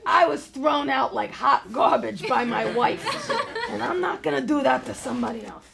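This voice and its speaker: A woman plays a divorced male bar owner in his fifties with a very creaky, slightly raised voice. He is awkward and kindhearted. He hesitates and rambles as in a live comedy table read. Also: sits high, moderate pace, over a noisy crowd. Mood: earnest, self-pitying. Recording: live stage reading before an audience.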